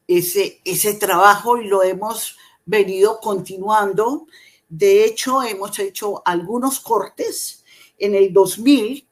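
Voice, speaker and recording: Woman, middle pitch, very slow and hesitant; academic talk over a video call. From Colombia. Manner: slow and measured, with frequent hesitations and repeated words. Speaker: invited professor and researcher. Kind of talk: academic seminar presentation.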